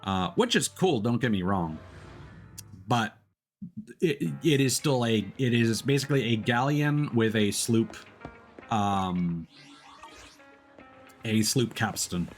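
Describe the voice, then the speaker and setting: A man chatting casually while live streaming a game, explaining things in a rambling, halting way. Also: medium pitch, lively intonation, faint music behind. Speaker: live streamer playing games. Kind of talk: casual chat while gaming.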